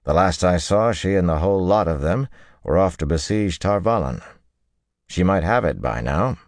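A man voicing a calm, courteous nobleman officer in an audiobook, speaking politely and with measured assurance. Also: low voice, no room sound, clean studio sound, pitched above the performer's own voice. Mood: calm, assured. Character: calm, courteous nobleman soldier, loyal second-in-command.

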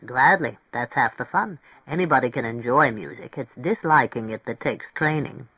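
A man reading a story, playing a cultured, opinionated middle-aged music connoisseur with a measured, knowing manner. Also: mid-range voice, husky, no echo, phone-quality sound, voice pushed down. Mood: knowing, amused.

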